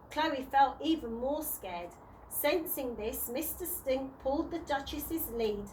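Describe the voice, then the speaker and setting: A woman reading a children's storybook aloud in a deliberate way, sometimes stumbling and rereading words. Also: sits high, a little hiss or hum, poor audio. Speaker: early years practitioner reading to children.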